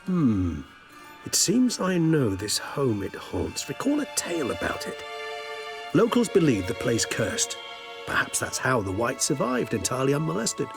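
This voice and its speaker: An actor playing a courteous, scholarly vampire in a video game, speaking in formal, precise, wordy sentences. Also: male voice, mid-range voice, huge pitch swings, unhurried, gravelly, heavily acted. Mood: musing. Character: erudite, courteous vampire scholar, wry and cautious. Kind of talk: video game dialogue acting. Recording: music behind the voice.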